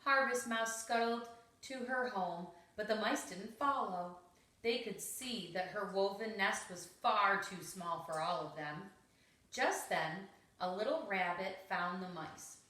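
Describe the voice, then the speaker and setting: A woman's voice: mid-range voice, measured pace, bright, forceful tone. A woman reading a children's story aloud, expressively and with dramatic emphasis. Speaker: storybook reader. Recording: roomy, echoing home recording.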